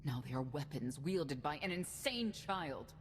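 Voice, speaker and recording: A stern woman knight speaks firmly in a very low voice. Her lines ring with a long reverb. A game ambience hiss runs under her. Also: expressive, unhurried. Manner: firm, clipped, honorable.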